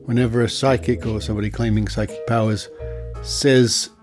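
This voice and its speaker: Deliberate Australian male podcast host over music. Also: fairly low pitch, steady tone, darkish tone. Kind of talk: scripted podcast narration with asides. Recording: music behind the voice.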